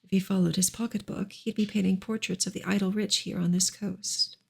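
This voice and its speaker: A woman softly reading a novel in ASMR style, with a breathy voice that often slips toward a whisper and a gentle, measured delivery. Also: medium pitch, fairly even intonation. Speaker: audiobook-style reader, ASMR host.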